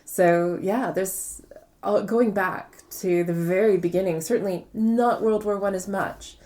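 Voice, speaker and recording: A woman's voice: mid-range voice, steady tone, a little slow, darkish tone. A woman speaking conversationally as an interviewed author, explaining things reflectively and repeating words for emphasis. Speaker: interviewed novelist.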